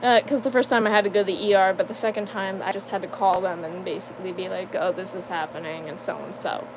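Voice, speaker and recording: A young adult woman giving a casual personal life update, halting and full of fillers, with nervous laughs between sentences. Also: medium pitch, steady tone, background noise. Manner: hesitant, halting, many fillers and restarts. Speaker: vlogger.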